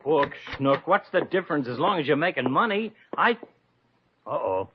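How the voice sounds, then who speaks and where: An actor playing a greedy, wheedling male promoter in a 1950s radio comedy, slangy and pushy, with a husky voice. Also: fairly high pitch, sing-song, normal speed, a little hiss or hum. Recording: dull vintage radio recording.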